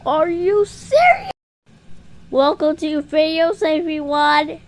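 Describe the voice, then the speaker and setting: A woman improvising playful puppet show dialogue in an excitable, exclamatory way. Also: high-pitched, slow.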